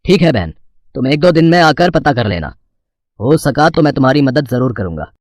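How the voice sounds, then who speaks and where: An actor playing a fair, kindly sweet-shop owner in a cartoon story, businesslike and measured. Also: male voice, medium pitch, sing-song, background noise, lightly acted.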